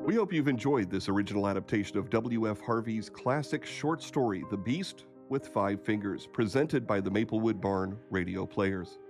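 An American man narrating a scripted radio drama outro, reading credits as the announcer. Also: on the low side. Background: music.

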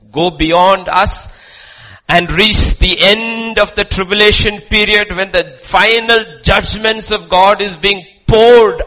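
A man with an Indian accent preaching a sermon emphatically, leaning on rhetorical questions and repetition and moving between loud declamation and quieter asides. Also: fairly high pitch, low-quality recording.

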